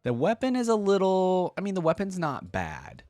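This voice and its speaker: A man's voice: high-pitched, wildly swooping pitch, normal speed, darkish tone, no echo, faint music behind. A man giving casual, off-the-cuff reaction commentary, informal and opinionated as he thinks aloud. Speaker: YouTube reaction host.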